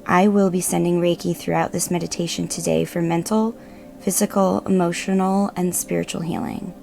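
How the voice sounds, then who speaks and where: A woman narrating a guided meditation in a gentle, measured, reassuring manner. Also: on the low side, little pitch movement, gravelly. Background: meditative music.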